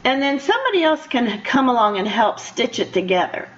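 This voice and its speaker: Older female radio host, fairly high voice, chatty and encouraging, circles back over phrases, dull lo-fi audio. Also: big pitch swings, a little fast. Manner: encouraging, repeats herself. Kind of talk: conversational interview. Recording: muffled radio interview sound.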